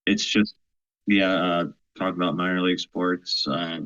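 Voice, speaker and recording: A man's voice: sits low, little pitch movement, measured pace, a bit bright, dull audio. A man co-hosting a podcast, talking hesitantly with many fillers. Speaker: co-host. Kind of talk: casual chat.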